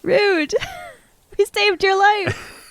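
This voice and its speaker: A woman's voice: very high voice, fairly even intonation, drawn-out words, dry recording. A woman playing in a tabletop roleplaying game, bantering casually as she reacts to the story.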